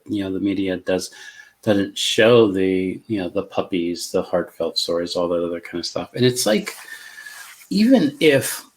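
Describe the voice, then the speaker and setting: A middle-aged man in casual conversation on a video call, speaking in a measured, calm way with many fillers and restarts. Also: low voice, low-quality recording. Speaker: co-host.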